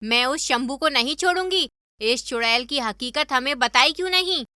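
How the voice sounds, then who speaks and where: A high, piercing cartoon mother-in-law played by a woman, middle-aged and quick to berate. She scolds with indignation and a forceful edge, in a dry, close recording. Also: animated, dry recording. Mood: furious. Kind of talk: animated moral story dialogue.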